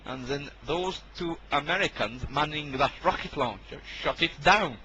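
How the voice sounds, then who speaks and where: A man's voice: fairly high pitch, moderate pace, background noise, natural voice. A man playing a defiant, scheming military officer in an amateur audio drama, with an insistent manner. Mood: defensive.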